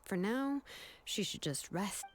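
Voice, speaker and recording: An actress playing a businesslike, caring female artisan in a video game, explaining things in a measured way. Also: mid-range voice, animated, a crowd in the background. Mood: thoughtful, caring.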